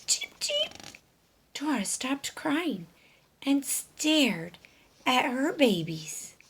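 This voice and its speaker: A woman reading a picture book aloud to children, often hushed, with expressive, deliberate narration and questions for young listeners. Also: sits high, slight background noise.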